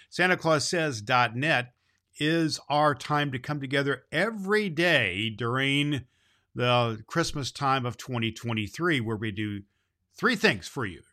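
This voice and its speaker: A man's voice: medium pitch, pushed and bright. A man playing a jolly, loving Santa Claus, hosting a children's holiday show in a folksy, exclamatory way. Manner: exclamatory, folksy, repeats phrases. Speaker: podcast host.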